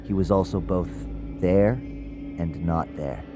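A man's voice: deep voice, takes it slowly. A man playing an uneasy narrator in an audio drama, recounting a haunting in a conversational first-person way. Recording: music bed under the voice.

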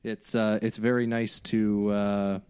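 A man's voice: fairly low pitch, flat delivery, slow, darkish tone, clean tone. A man guesting on a podcast, chatting casually and hesitantly, with lots of fillers. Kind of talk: casual chat.

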